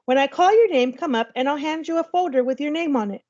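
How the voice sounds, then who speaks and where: Woman reading as a brisk, firm schoolteacher, higher voice. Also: darkish tone, dull audio, close to an everyday voice. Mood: instructing. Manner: instructing, orderly announcements. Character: female schoolteacher, brisk and firm, settling her class.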